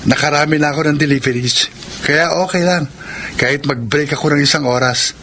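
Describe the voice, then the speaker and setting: A persistent young delivery man courting his sweetheart in a radio drama. His voice is fairly high for a male, breezy and coaxing, with faint noise behind it. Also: brisk, pushed and bright. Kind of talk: Filipino radio drama acting.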